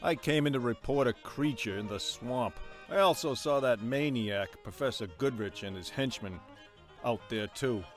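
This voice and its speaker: A man's voice: medium pitch, moderate pace, faint music behind, close to an everyday voice. A man playing an earnest, indignant scoutmaster with melodramatic delivery in a radio drama. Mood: indignant, urgent.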